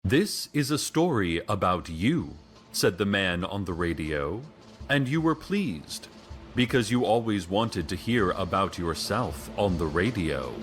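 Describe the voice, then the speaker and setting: Over prominent music, an eerie small-town radio announcer in an audio fiction show. He speaks very low and slowly, with a flat, deadpan delivery that keeps the strange narration unruffled. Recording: music under the voice.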